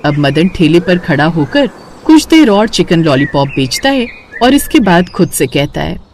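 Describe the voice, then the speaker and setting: A woman narrating a scripted moral story as a storyteller, in an expressive style. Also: lowish voice, normal speed, slight background noise.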